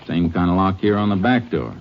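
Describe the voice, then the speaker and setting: Deep-voiced steady lawman, old radio. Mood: intent, observant. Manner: measured, observant, matter-of-fact. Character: steady, methodical male Texas Ranger investigator. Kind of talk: old-time radio western acting. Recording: muffled, noisy old radio broadcast recording.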